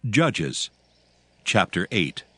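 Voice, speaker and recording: A man narrating scripture in a dramatic, solemn and measured scripted style. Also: low voice, very animated, pushed and bright, breathy edge, no room sound.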